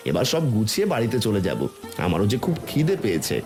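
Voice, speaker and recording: A man's voice: lowish voice, big pitch swings, fast, a little mellow, soft background music. A man voicing a kindly village food seller and cheerful father in a cartoon. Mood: warm, fatherly. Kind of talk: cartoon voice acting.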